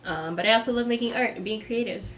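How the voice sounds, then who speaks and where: A young woman, a mother and artist, talking to camera from a script in a soft, reflective vlog; she sounds stuffy and congested. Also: a little high, quick pace, slight background noise. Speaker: vlogger, artist. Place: home. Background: kids playing.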